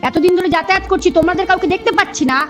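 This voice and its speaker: Very high-pitched, fast-talking argumentative woman. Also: steady tone. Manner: defensive, argumentative, insistent. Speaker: animal rescuer. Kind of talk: heated phone conversation. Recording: phone call recording with music underneath.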